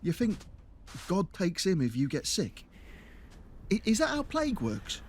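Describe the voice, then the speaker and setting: An actor playing a nervous, doubtful underling looter in a horror audio drama, hesitant and stammering. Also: male voice, sits high, huge pitch swings, a little slow, a little hiss or hum, full character voice. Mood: panicky.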